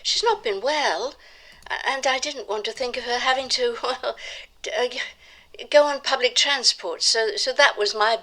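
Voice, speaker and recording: A woman's voice: on the high side, measured pace, sharp tone. A woman playing an earnest, naive young activist granddaughter in an audio drama, stammering and hesitating in her eagerness. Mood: defensive, flustered.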